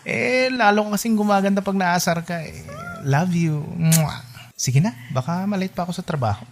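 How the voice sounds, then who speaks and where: A man's voice: sits high, normal speed, slight background noise. An actor playing a devoted, sentimental young male lover in a radio drama, with an earnest way of talking. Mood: playful, affectionate. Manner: teasing endearments, pleading and sentimental. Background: background music.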